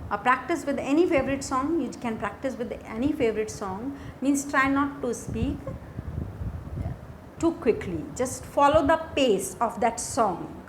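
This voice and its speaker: A woman with an Indian accent teaching a lesson from slides in a deliberate way, repeating key phrases. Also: high-pitched, animated, slight background noise, low-quality recording. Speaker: teacher, lecturer. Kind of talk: instructional lesson explaining slides.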